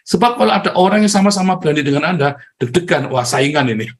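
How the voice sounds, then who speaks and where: A middle-aged man from Tegal with a Tegal accent, giving a lively motivational talk online, speaking straight to his listeners and acting out the voices he quotes. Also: on the high side, fast. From Tegal, Central Java, Indonesia. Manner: animated, addresses listeners directly, acts out quoted voices, chuckles often.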